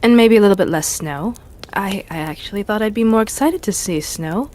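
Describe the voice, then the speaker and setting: A woman's voice: medium pitch, brisk, darkish tone, a little hiss or hum, lightly acted. A woman voicing a shy, easily flustered adventurer in a tabletop game, hesitant and stammering when teased. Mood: rueful.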